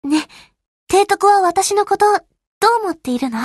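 A woman's voice: very high voice, animated, moderate pace, no room sound, clean studio sound. A woman voicing a cheerful, earnest young warship girl with a girlish, perky, sweetly familiar manner in short Japanese game lines.

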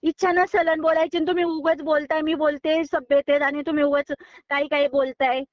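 High voiced woman on a phone call, firm n fed up, bit muffled lofi sound. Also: little pitch movement, a little fast, no room sound. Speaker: online recipe video creator receiving a prank call. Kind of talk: unscripted phone conversation. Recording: dull, lo-fi phone call audio.

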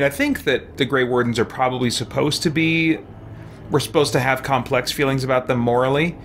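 Middle aged guy doing chill lets play talk, thinking out loud, average pitch, little game noise in back. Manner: musing, thinking aloud. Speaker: game streamer. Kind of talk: casual let's play commentary. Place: room with shelves at home.

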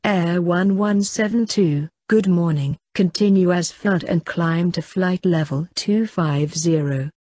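Woman for air traffic control lesson, slightly low pitch, unhurried formulaic read. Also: dark tone, no echo. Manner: measured, formulaic.

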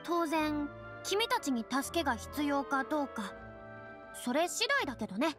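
A woman's voice: high voice, sing-song, normal speed, music in the background. A woman playing a self-assured, theatrical young former stage star in a Japanese anime game, with lofty, boyish phrasing. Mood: confident, gracious.

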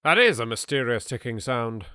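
A man's voice: medium pitch, very animated, normal speed, bright, forceful tone, smooth, dry recording. An English man giving dry, sarcastic commentary over a game he plays. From England. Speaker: let's play voice actor. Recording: game music behind.

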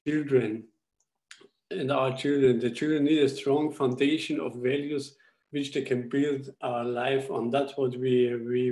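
A young man from Austria presenting his school project in a webinar over a video call, speaking English haltingly with frequent restarts. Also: mid-range voice, warm tone, low-quality recording. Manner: halting, restarts phrases while explaining slides. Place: indoors at home. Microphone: laptop webcam video call.

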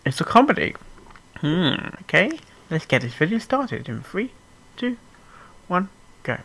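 Animated reaction host. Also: medium pitch, measured pace, vocal fry. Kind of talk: casual reaction commentary. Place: indoors, room with full bookshelves.

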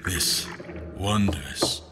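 An actor playing a brooding, grandiose, weary and bitter man, with halting theatrical archaic speech in a fantasy audio drama. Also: low voice, music in the background. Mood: reverent, solemn.

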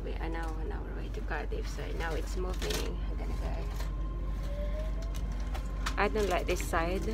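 A woman's voice: sits low, moderate pace, vocal fry, loud music behind the voice. A young woman filming a casual travel vlog on a handheld phone, chatting about the ride and the view from a train. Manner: chatty, narrating the view as she goes. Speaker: travel vlogger. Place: on a train and station platform. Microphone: handheld phone camera. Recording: loud train noise.

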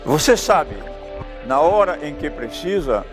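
An older man delivering a short political ad line in a slow, calm, persuasive manner. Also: on the high side, faint music behind. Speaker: party spokesman in an ad.

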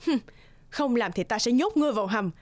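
A woman voicing a cruel, bullying middle-aged stepmother in an animated fairy tale, scornful, threatening and commanding. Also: fairly high pitch, quick pace, slight background noise, natural voice. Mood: scornful, menacing. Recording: music under the voice.

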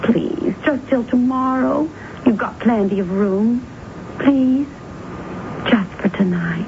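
Casting: pleading, provocative femme fatale, high little voice, drawn-out hesitant lines, vintage radio drama. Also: female voice, creaky. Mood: coy, pleading. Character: coy, pleading younger femme fatale sister. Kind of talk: 1970s radio drama acting. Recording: muffled 1970s radio recording, faint noise.

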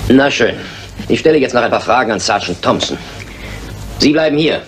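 A man acting a brisk, commanding, matter-of-fact detective in a German radio crime play. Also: medium pitch, animated, music in the background, lightly acted. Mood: insistent, assured. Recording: noisy old radio play recording.

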